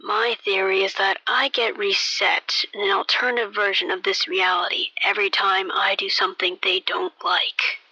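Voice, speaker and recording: Performer as a brooding ex-cop, sharply bright voice. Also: mid-range voice, little pitch movement, slightly rough, no echo, dull audio, exaggerated voice. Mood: grim. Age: young adult. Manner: brooding, measured storytelling with dramatic pauses. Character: haunted, weary ex-policeman grieving his vanished wife. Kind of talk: amateur radio play monologue.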